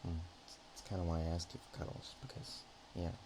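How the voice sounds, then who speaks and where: A man playing a naive, nervous, affectionate dragon in a roleplay ASMR, talking in a hesitant, rambling, halting way. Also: low-pitched, flat delivery, background noise, natural voice. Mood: tired, affectionate.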